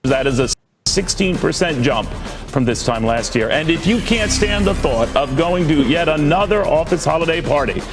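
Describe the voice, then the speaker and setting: A man's voice: a little high, animated, moderate pace. A man reading scripted business news as a television presenter. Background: music.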